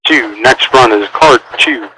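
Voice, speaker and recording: A man speaks as a military mission controller over air-band radio. His phrasing is clipped and procedural, delivered in short instructions at an average pitch. The transmission is clearly noisy, with static and clicks. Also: slow.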